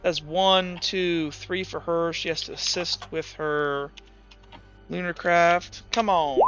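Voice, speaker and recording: A man's voice: a little high, fairly even intonation, slow, a bit bright, muffled recording. A man casually narrating a tabletop roleplaying game as game master, thinking aloud and correcting himself as he goes.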